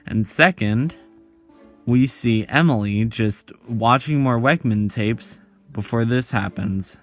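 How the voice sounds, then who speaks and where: Male video host for scripted explainer narration. Slow delivery with dramatic pauses between short phrases, light music underneath. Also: medium pitch.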